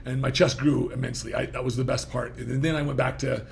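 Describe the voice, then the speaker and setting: A man's voice: mid-range voice, steady tone, fast, vocal fry, slight background noise. A man in his fifties hosting a podcast, talking in a rambling, self-interrupting way and laughing easily. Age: 50s. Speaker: podcast host. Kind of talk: casual interview talk.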